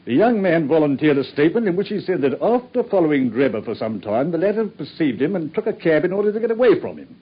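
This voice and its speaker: An actor playing a self-satisfied male police inspector in a radio drama, smug and husky. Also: a little high, big pitch swings, quick pace, few pauses. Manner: smug, pleased with himself. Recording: dull old radio recording.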